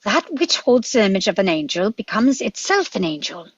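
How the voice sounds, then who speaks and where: A woman playing a quick-thinking, commanding adventurer doctor in a script read, with a husky voice and urgent manner. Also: mid-range voice, sing-song, no room sound, lo-fi sound. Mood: intent, questioning.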